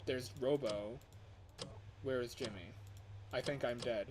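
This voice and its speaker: A man doing casual livestream commentary on a game, excitable and self-deprecating, quick to laugh at himself. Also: mid-range voice, background noise. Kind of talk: casual gameplay stream commentary.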